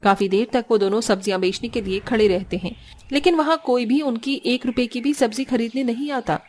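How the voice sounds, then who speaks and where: A woman reading scripted narration for an animated family story, as the storyteller. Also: fairly high pitch, fairly quick.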